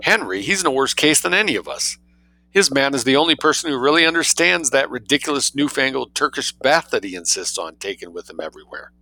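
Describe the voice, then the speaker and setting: A man voicing a shrill, indignant upper-class wife who speaks with dramatic intensity. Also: very animated, brisk, bright, forceful tone. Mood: scornful.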